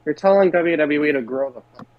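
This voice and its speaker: A man with a fairly high voice chats casually as a podcast panelist. The audio is bone-dry and slightly muffled, with a lo-fi feel. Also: fast, dry recording. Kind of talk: casual wrestling podcast chat.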